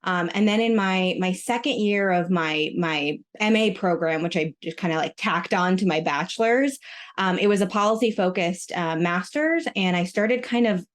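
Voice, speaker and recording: A woman's voice: fairly low pitch, moderate pace. A woman in her thirties, born in Russia and raised in Maryland, talking casually as a podcast guest with lots of ums and likes. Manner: chatty, many ums and likes. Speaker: guest, retail executive. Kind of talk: casual interview talk.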